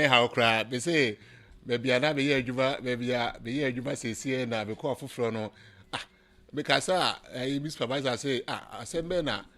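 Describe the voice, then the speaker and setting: A man's voice: mid-range voice, bright, forceful tone. A man, speaking in a rambling way. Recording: voice distant from the mic.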